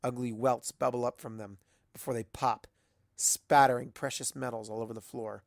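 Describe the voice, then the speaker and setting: An expressive storyteller reads fiction in a deliberate, dramatic way. The pitch sits in the middle, and the sound is close and dry, with little room in it. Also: creaky, no echo. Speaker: fan fiction reader. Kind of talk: dramatic storytelling narration with acted dialogue.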